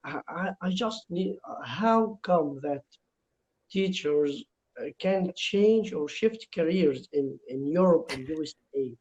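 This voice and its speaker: A man's voice: fairly high pitch, expressive, takes it slowly, a little mellow. Hesitant man, dull lo-fi line. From Egypt. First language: Arabic. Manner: hesitant, searching for words. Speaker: English learner. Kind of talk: casual video-call conversation. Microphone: video call.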